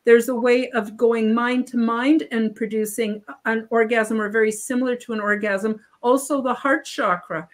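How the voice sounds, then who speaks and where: An older woman speaks as a guest on a video call, her voice sitting a little high. She rambles, restarting sentences and leaning on fillers as she explains. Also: fairly even intonation, moderate pace, hardly any pauses. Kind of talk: casual interview talk. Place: home room on video call.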